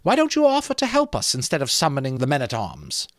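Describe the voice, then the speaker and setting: A man's voice: medium pitch, wildly swooping pitch, quick pace, no echo, clean studio sound, pitched above the performer's own voice. A man voicing an anxious young woman who speaks in an urgent, pleading way.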